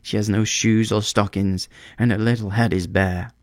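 A man voicing a gentle, sorrowful prince statue in a storybook reading, speaking tenderly and pleadingly. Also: on the low side, steady tone, moderate pace, no room sound, clean studio sound, lightly acted.

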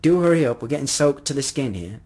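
A man voicing a stern, suspicious mother in a storybook, speaking in a sharp, curt and distant manner. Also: mid-range voice, animated, quick pace, husky. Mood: impatient.

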